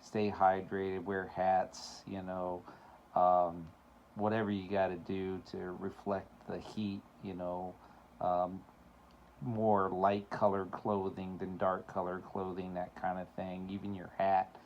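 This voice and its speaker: A man's voice: low voice, level tone, slow pace, lo-fi sound, a little hiss or hum. A middle-aged man hosting his own show, talking in a rambling, casual monologue full of fillers and laughs, his voice cracking at times. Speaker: show host.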